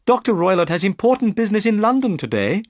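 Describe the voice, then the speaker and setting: A man's voice: high-pitched, very animated, fast, dry recording, pitched above the performer's own voice. A man voicing a frightened young woman. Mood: anxious. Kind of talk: audiobook character reading.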